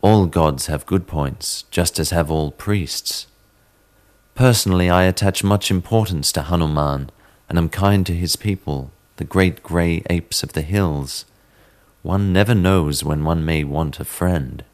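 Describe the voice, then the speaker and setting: A man giving a measured, theatrical dramatic reading of a classic horror story as narrator, in the manner of a storyteller. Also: sits low, darkish tone. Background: sitar music.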